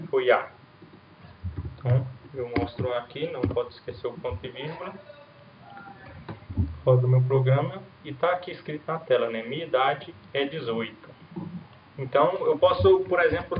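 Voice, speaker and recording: A man in the middle of his range teaches beginner programming in an easy, conversational way, and he keeps checking in with his students as he walks through the code. His voice comes across roomy and a little dull, with a faint hiss underneath. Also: medium pitch. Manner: casual explanatory, frequent "né?" and "certo?" checks. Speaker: programming instructor. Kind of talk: recorded coding lesson with screen demo.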